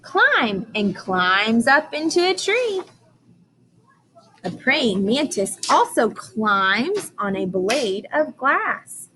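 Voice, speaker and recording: A woman's voice: on the high side, very animated, very slow, pushed and bright, a little hiss or hum, poor audio. A woman teacher reading aloud to young children, explaining and asking questions in simple, emphatic language.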